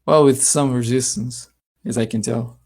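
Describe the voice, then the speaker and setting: A man's voice: mid-range voice, steady tone, moderate pace, a little mellow. A man from Brazil, explaining physics step by step in a heated voice-chat debate.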